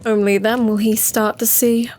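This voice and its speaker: A woman's voice: on the high side, little pitch movement, moderate pace, background noise, close to an everyday voice. An actress playing a brooding, secretive woman, delivering an ominous, theatrical monologue in an audio drama. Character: brooding, secretive female narrator hunting a collector's secrets.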